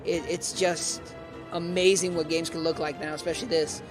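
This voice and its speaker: A man's voice: on the high side, level tone, moderate pace. A man hosting a live game stream, commenting on gameplay and hesitating often with fillers and restarts. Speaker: stream host. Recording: clear music underneath.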